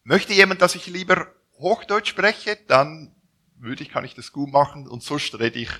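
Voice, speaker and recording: A man in his forties from Zurich with a Swiss German accent, introducing himself as a missionary to a church gathering, speaking haltingly with frequent restarts. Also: a little high, fairly even intonation, pushed and bright. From Zurich, Switzerland. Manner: halting, with restarts and self-corrections. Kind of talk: personal introduction to a church audience.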